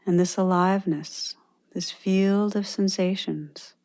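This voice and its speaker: A woman meditation teacher leading a guided meditation with gentle, unhurried instructions and pauses. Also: mid-range voice, steady tone.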